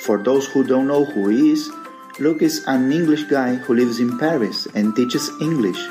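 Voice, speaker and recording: A man's voice: mid-range voice, normal speed. Showy male mock talk-show host. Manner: showy, mock-grand presenter. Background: music.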